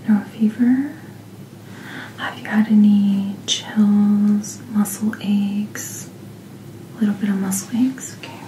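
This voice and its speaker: A woman speaking softly and breathily, mostly in a whisper, as a gentle, reassuring doctor in an ASMR roleplay. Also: medium pitch, flat delivery. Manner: gentle, unhurried, reassuring bedside manner. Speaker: ASMR performer playing a doctor. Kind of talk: soft-spoken ASMR medical roleplay. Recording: roomy sound, clear background noise.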